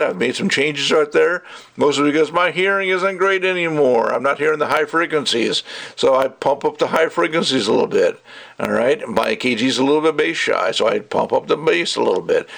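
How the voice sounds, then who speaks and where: A man's voice: mid-range voice, creaky, a bit bright. A man giving a casual, rambling product review in an opinionated, emphatic way with conversational asides. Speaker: gadget reviewer.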